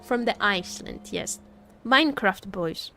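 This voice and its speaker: A woman's voice: mid-range voice, lively intonation, measured pace, sharp tone, faint music behind. A woman livestream host chatting casually, rambling and searching for her words as she goes. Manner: rambling, hesitant word searching.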